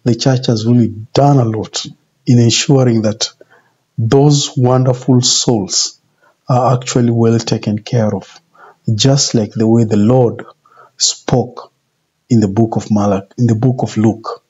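A man in his forties giving an informal sermon in a deliberate, repetitive, emphatic way. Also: medium pitch, fairly even intonation, a little mellow, breathy edge. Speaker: religious video presenter. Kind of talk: informal sermon, retelling scripture. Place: small indoor office. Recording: muffled, dull home video audio.

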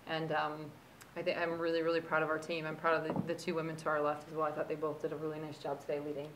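Low, flat-voiced woman coach. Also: quick pace, a little hiss or hum, poor audio. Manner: steady, reflective, frequent restarts. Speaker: head basketball coach. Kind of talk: post-game press conference answers. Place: press conference room with sponsor backdrop. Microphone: table microphone.

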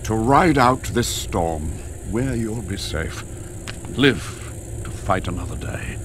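An older man voicing an ancient, wise dragon keeper of books in a video game, speaking gravely and theatrically with drawn-out pauses. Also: on the low side, lively intonation, background noise. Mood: solemn, resigned. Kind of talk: video game cutscene acting, solemn storytelling. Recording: loud music behind the voice. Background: loud cinematic game music.

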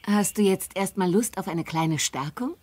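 A woman's voice: medium pitch, normal speed, noisy background, natural voice. A woman voicing a caring, welcoming middle-aged farm mother who explains things in a video game. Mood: kindly. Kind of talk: scripted video game dialogue.

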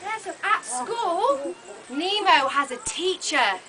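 Casting: woman storyteller for young kids, bright forceful voice, unhurried simple phrasing, live camera recording with background noise. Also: very high voice, sing-song. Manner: exclamatory, dramatic gasps, simple short phrases for young children. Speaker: puppet show narrator. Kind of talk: live children's storytelling with audience questions. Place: open-sided thatched hall with audience of children. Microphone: camera microphone from audience. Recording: noisy open-air hall recording.

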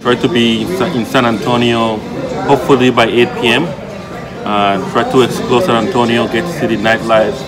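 A man chatting casually to viewers as a travel vlogger in a low-key, conversational way. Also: flat delivery, moderate pace, over a noisy crowd, rough recording. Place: inside a restaurant. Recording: music playing behind the voice. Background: music.

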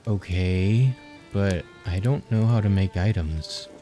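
Man acting a hapless young wanderer, slow-paced. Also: low voice, warm tone. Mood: weary, sheepish. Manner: hesitant, stammering, slangy. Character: hapless, earnest young male wanderer and failed bandit. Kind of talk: manga comic dub acting. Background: music under the dialogue.

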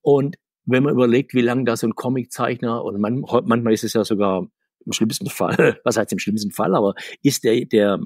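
Male interview guest, husky tone, talkative and self-correcting, dry close sound. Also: mid-range voice, fairly even intonation, normal speed, a little mellow, no echo. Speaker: guest, comics author. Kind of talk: conversational podcast interview.

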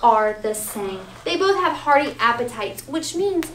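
A woman teaching young children in an interactive read-aloud, animated and often prompting them with questions and check-ins. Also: on the high side, measured pace. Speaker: elementary school teacher. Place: school classroom, children seated on the floor. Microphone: distant camera. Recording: roomy, distant, faint classroom noise.

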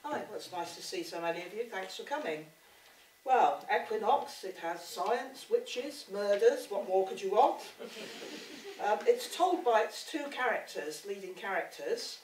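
A woman's voice: mid-range voice, animated, unhurried, darkish tone. A woman introducing her book informally from a theatre stage at a lectern microphone. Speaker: author introducing own book. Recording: distant, echoey theatre with room noise.

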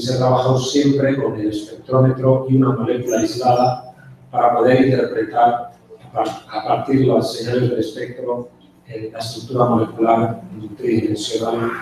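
A man's voice: medium pitch, a little mellow. Older Spanish man lecturing in an echoey hall. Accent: Spanish from Spain. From Spain. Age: 60s. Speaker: guest lecturer, chemist. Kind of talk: conference lecture. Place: university auditorium, indoors. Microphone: handheld mic, picked up by distant camera. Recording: echoey hall from far off, faint noise.

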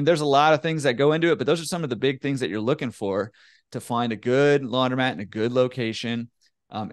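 A man in his thirties, a guest on a video call, answering in a relaxed, conversational way full of "you know" fillers. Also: medium pitch, no room sound, studio quality. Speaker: laundromat owner, guest. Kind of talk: casual interview answer. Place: home room with bookshelf. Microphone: headset with desk mic on video call.

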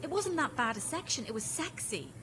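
An awkward, flustered lady writer in a radio drama. Her voice is high and lively in pitch, over loud ambient noise. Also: a little fast. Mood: sulky, startled. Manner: awkward, mild exclamations. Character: flustered, self-conscious woman romance novelist.

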